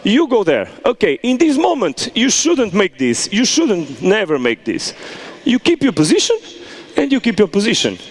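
Man, soccer coach, high voice, lively pitch, indoor hall. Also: normal speed. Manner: animated instructions with frequent "okay?" check-ins. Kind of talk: on-field coaching demonstration. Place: large indoor convention hall.

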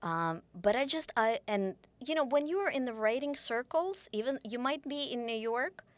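A middle-aged woman from Ukraine, speaking as an author guest in an interview, animated and full of restarts. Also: fairly high pitch, normal speed, no room sound. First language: Russian. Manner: animated, gesturing, many restarts. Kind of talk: interview conversation. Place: small podcast studio. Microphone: boom mic on desk.

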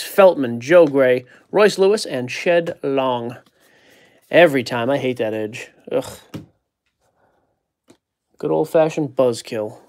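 A man hosting a card break, casually joking while he reads out player names from the packs. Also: medium pitch. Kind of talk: casual card-opening chat. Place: indoor card-breaking table.

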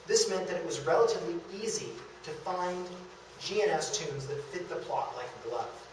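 A man's voice: a little high, moderate pace. A young man presenting a prepared, scripted public lecture at a podium in a meeting room. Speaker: lecturer presenting a local theatre history talk. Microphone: distant camera microphone. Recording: echoey room, distant camera, background hiss.

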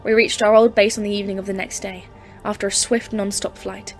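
A woman's voice: mid-range voice, little pitch movement, a little fast, creaky, close to an everyday voice. Woman acting a grave professor narrating polar horror. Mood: grave, haunted. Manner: formal, literary, intense. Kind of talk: dramatic audio play narration and dialogue. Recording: music under the voice. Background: dramatic music.

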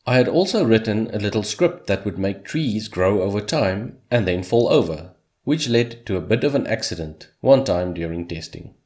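Male voice-over host, native Afrikaans speaker, slightly low register, steady scripted delivery with dry humor. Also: moderate pace. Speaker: hobby game developer, channel host.

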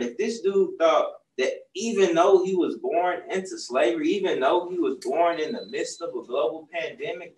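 A young man, a children's book author, talking casually in a bookstore, often asking "right?" as he tells a story. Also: a little high. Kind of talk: casual talk to young audience. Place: bookstore, seated on a stool. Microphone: far camera. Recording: roomy sound from a distant mic.

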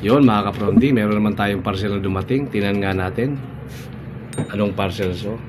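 A man's voice: on the low side, normal speed. A middle-aged Filipino man chatting casually through an unboxing vlog, repeating short phrases. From Philippines. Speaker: barber vlogger.

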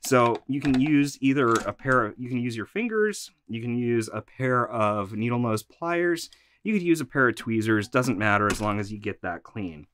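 A man's voice: mid-range voice, fairly bright tone, slight background noise. A man, a little congested from allergies, hosting a practical vacuum maintenance tutorial from a shop workroom. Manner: practical, instructive, conversational.

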